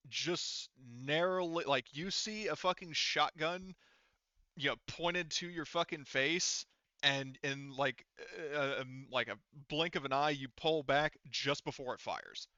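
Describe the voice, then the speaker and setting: A man casually running a tabletop roleplaying game, explaining in a halting, self-correcting way. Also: on the high side, lively intonation, bright, forceful tone, smooth, no room sound, muffled recording. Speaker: game master.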